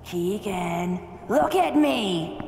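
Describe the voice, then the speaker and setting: A woman voicing the taunting ghost of a murdered wife, speaking with a gravelly edge. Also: medium pitch, animated, extremely slow, noisy background, exaggerated voice. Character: vengeful dead wife's ghost, mocking and cruel. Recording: echoey reverb with music.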